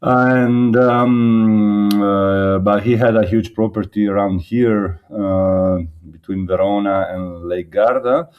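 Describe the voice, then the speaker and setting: A slow-speaking man with an Italian accent, mixed with other places, answers interview questions. He hesitates often and fills pauses with ums and uhs, in a somewhat low voice. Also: steady tone, clear, resonant tone, phone-quality sound. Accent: Italian, slightly mixed. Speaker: winemaker guest.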